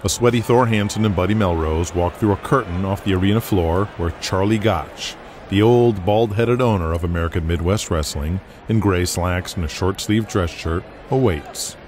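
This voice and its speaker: A man giving scripted dramatic narration with a measured storytelling manner. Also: deep voice, darkish tone, faint music behind.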